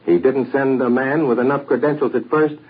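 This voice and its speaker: An American man anchoring a 1940s radio news broadcast in a measured, formal way, on an old radio recording. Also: medium pitch, steady tone, slightly rough, narrow, tinny audio.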